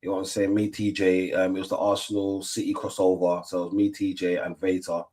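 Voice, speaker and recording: A middle-aged man talks in a fairly low voice. His chat is loose and slangy, full of fillers and restarts, as he hosts a relaxed football watch-along stream. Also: fairly quick. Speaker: stream host.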